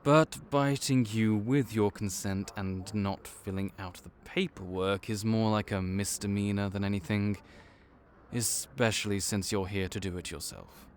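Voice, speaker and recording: A man's voice: on the low side, fairly even intonation, unhurried, close to an everyday voice. A man voicing a laid-back vampire clerk and mentor in an audio roleplay, dry and wry as he speaks to the listener. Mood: easygoing.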